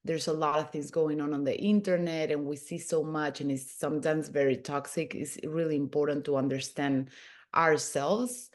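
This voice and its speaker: A woman's voice: deep voice, steady tone, moderate pace. A woman in her thirties from Peru, a podcast guest telling her own story in an earnest way, often restarting her sentences. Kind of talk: casual interview.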